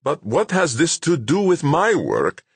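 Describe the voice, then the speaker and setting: Man acting a petulant male scientist, pitched up. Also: sing-song, moderate pace, slightly rough, no echo. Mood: indignant. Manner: insistent, clipped protesting. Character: stubborn, self-absorbed Hungarian male scientist, petulant and indignant. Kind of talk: acted audiobook dialogue.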